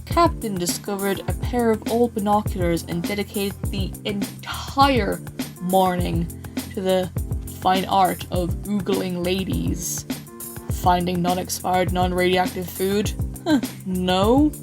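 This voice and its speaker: Man playing a weary, wry apocalypse survivor. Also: high voice. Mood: exasperated. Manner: wry, sighing, deliberate. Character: weary sarcastic male survivor narrating the apocalypse. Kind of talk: dramatic scripted narration. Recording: music behind the voice. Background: music.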